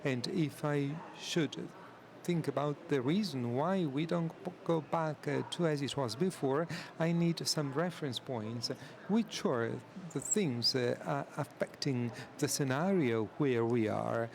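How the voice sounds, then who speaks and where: A man giving live interpretation at a conference, halting and full of filler sounds. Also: medium pitch, gravelly, noisy background. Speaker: simultaneous interpreter.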